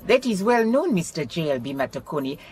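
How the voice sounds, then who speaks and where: An actress playing a wise Botswanan detective with a Southern African accent, formal, deliberate and affectionately firm, in a radio drama. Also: female voice, lowish voice, very animated, darkish tone, soft background music. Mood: firm, knowing. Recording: clear outdoor vehicle noise.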